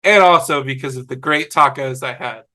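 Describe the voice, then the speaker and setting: A man on a video call table read, playing a dim, sweet, excitable young slacker. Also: mid-range voice, normal speed, natural voice. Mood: earnest, dopey. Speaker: amateur table-read actor. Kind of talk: fan table read of TV comedy script.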